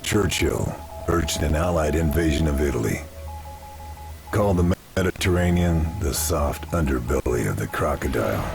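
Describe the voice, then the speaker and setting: A man playing a grim, determined World War II sniper agent, narrating mission briefings in a video game in a terse, grave, gravelly voice. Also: rumbling low, lively intonation, heavily acted. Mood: grave, measured. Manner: terse, measured, grave delivery. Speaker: protagonist narrator. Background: music.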